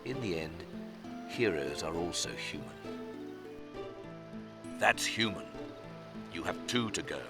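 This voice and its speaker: A man playing a solemn, wise old storytelling spirit in a fantasy game fan dub, speaking formally and gravely. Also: deep voice, lively intonation, takes it slowly, pauses often. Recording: loud music under the voice. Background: music.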